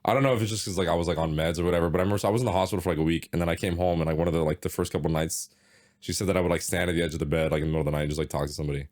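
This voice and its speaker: A man's voice: low voice, steady tone, a little mellow. A young man co-hosting a podcast, chatting casually and laughing easily with his co-host. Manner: responsive listener, quick to laugh. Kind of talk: casual chat.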